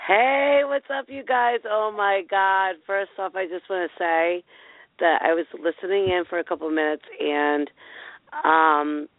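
A woman with a raspy voice calling in to a talk show, rambling excitedly. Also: fairly low pitch, a little slow, no room sound. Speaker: caller. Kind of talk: casual call-in chat. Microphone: phone. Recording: tinny phone line, dry.

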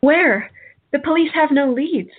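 A woman's voice: high-pitched, a little slow. A woman playing a bewildered, anxious, questioning young woman in a radio play. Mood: anxious, disbelieving. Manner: questioning, startled. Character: bewildered, anxious young woman seeking her missing brother. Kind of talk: audio drama acting. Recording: muffled, narrow phone-like audio.

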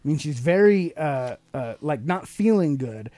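A man's voice: a little high, expressive, measured pace, dark tone, no echo. A middle-aged man co-hosting a podcast, explaining things casually to a child in a teacherly way with leading questions. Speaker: podcast co-host and father explaining to his child.

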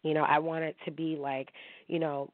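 A woman's voice: deep voice, level tone, moderate pace, no echo. Drained woman radio host on a phone line. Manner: candid, emotional, talking straight to listeners. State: emotionally drained. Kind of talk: casual monologue. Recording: dry, tinny phone-line sound.

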